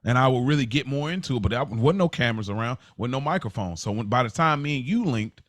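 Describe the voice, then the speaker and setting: A man's voice: medium pitch, expressive, fast, no room sound. A man speaking as a podcast co-host in casual, reflective conversation, earnest and conversational in his delivery.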